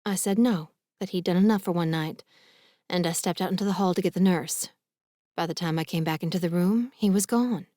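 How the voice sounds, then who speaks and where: Audiobook mom, voiced by a female narrator: middle-aged, protective, stern but fussing, slightly low voice, dry close sound. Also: brisk, mellow, no room sound, lightly acted. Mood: reluctant. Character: worried, protective, no-nonsense middle-aged mother. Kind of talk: audiobook character dialogue.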